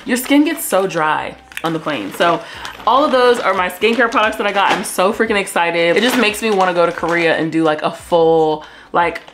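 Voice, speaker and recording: A woman's voice: on the low side, animated, normal speed, background noise. A young woman in her twenties vlogging casually to camera from a hotel room, chatty and full of fillers, calling her viewers y'all. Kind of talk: casual haul chat to camera.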